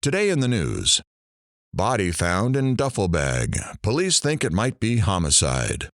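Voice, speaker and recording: An actor playing a sardonic morning radio host in an audio drama, with dry, theatrical sarcasm. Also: male voice, on the low side, huge pitch swings, measured pace, dry recording, full character voice. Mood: dry, sarcastic. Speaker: radio talk show host.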